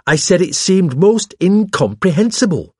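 A man voicing a nervous, obstinate man in an audiobook, with a shrill, fidgety and insistent delivery. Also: big pitch swings, normal speed, darkish tone, husky, no room sound, studio quality. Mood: indignant. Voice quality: shrill when agitated. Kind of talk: audiobook character voice.

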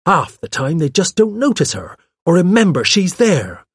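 A man's voice: high-pitched, wildly swooping pitch, normal speed, breathy edge, no echo, studio quality, voice pushed up, heavily acted. A man voicing a chatty, arch young woman with a gushing, pert, colloquial way of talking, as in an audiobook. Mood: pleased, self-assured. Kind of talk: audiobook character voice.

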